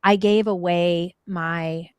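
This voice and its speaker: A woman's voice: lowish voice, fairly even intonation, slow, darkish tone, clear, resonant tone, dry recording. Woman, guest in a candid talk, earnest and reflective, restarts often, dry close sound. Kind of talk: candid conversation.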